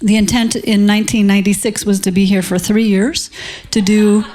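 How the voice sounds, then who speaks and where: Slightly hoarse American woman in her fifties. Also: medium pitch, little pitch movement, moderate pace, dark tone. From South Dakota, United States. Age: 50s. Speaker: physician, panelist. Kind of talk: informal self-introduction to an audience.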